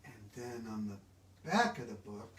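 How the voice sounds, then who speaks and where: A man's voice: mid-range voice, expressive, unhurried, fairly bright tone, slight background noise. A man reading a children's book aloud, sometimes stumbling over long words. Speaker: book reader. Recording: echoey room, distant microphone.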